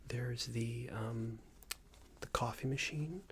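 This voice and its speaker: Guy doing a passive aggressive boss asmr roleplay, soft breathy creaky voice, hesitant corporate rambling, bit of background noise. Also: mid-range voice, slow. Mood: defensive, smarmy. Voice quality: breathy, half-whispered, creaky. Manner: hesitant, hedging, condescending, rambling corporate small talk. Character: smarmy, passive-aggressive male company boss, entitled founder's son.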